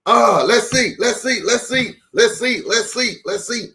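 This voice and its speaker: Slow, playful male children's storyteller. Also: very high-pitched, pushed and bright. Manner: encouraging, playful, deliberate with drawn-out phrases. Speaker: children's storytime host, pastor. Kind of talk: animated picture-book reading and chat for young children.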